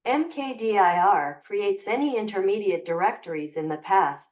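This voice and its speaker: A text-to-speech woman's voice methodically reads technical command help and spells each letter out. It plays from a distance, so it sounds set back from the microphone, and its pitch sits in a middle range. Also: normal speed, darkish tone. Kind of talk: synthetic read-out of command documentation.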